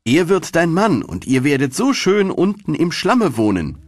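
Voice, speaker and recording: A repulsive, bossy toad from a children's storybook, acted out by a male narrator. The voice is pushed up high and rough-edged. The delivery is emphatic and exaggerated. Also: big pitch swings, measured pace, no echo, clean studio sound. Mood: gloating. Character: repulsive mother toad, bossy, with croaking son.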